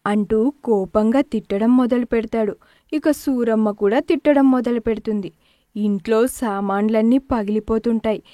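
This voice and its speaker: A woman's voice: on the high side, dark tone, no echo, clean studio sound. A woman narrating a scripted moral story as a storyteller.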